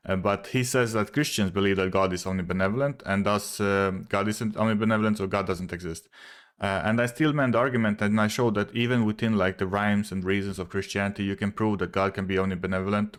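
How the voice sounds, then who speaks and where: A man whose first language is not English, casually arguing a point in a video, hesitant with many fillers and restarts, often checking in with "right?". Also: fairly low pitch. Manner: explains step by step, often asks "right?". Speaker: video creator responding to a commenter. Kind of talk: casual argumentative commentary.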